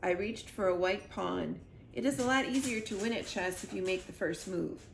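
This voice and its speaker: A woman's voice: mid-range voice, normal speed. A woman reading a children's story aloud expressively, as a library storyteller. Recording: roomy echo with clear background noise.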